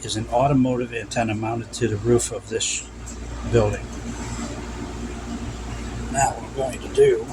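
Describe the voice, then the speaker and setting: A man's voice: mid-range voice, steady tone, unhurried, pauses often. A man giving an unscripted, instructional hands-on demonstration of vintage radio work, repeating his cautions as he goes. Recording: loud hum and background noise.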